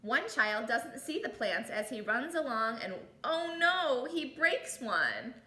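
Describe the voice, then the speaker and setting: A woman reading a storybook aloud to children in an expressive teacher's way, adding little asides, in an echoey room. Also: a little high, takes it slowly. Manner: animated, exclaims and comments on the story.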